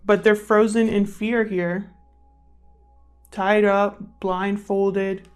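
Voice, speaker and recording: A woman's voice: mid-range voice, little pitch movement, very slow, a little mellow, smooth. A woman giving a casual, improvised tarot reading, thinking aloud as she talks to the cards and to her viewers. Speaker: tarot reader, channel host. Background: background music.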